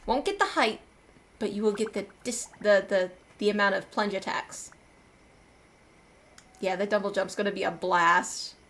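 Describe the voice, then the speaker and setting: A woman's voice: mid-range voice, fairly even intonation, moderate pace, pauses often, a bit bright, a little hiss or hum. A woman streaming a game, giving casual, playful, chatty commentary as she reacts to the story, with game music behind her.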